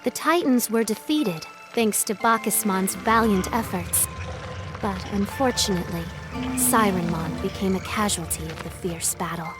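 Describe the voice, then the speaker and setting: An actress playing a solemn storybook narrator in a video game, delivering scripted narration. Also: female voice, medium pitch, moderate pace, music in the background, natural voice.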